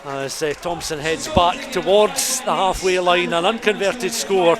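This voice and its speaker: A man's voice: sits high, fairly even intonation, measured pace, music in the background. A man giving live radio rugby commentary as the play-by-play caller, describing the action in detail as it unfolds. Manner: descriptive, scene-setting, rising with the play. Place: commentary position at a rugby ground.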